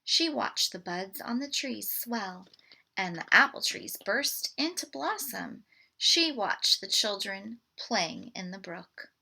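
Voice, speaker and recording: A woman reading a picture book aloud to children in an expressive, deliberate way. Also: mid-range voice, gravelly, breathy edge, rough recording. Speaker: storybook read-aloud presenter.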